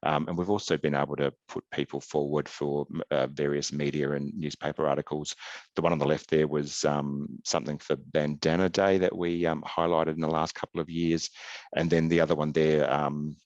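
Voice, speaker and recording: A middle-aged man giving a webinar slide presentation over a video call, halting, with many ums and restarts. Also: a bass voice, flat delivery, a little mellow, no echo, dull audio. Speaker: health service presenter. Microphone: video call webcam.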